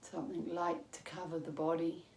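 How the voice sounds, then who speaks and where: Female meditation guide, low register, calm and measured with long pauses, roomy sound. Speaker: yoga teacher. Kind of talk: guided meditation, yoga nidra instruction. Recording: roomy echo with faint background noise.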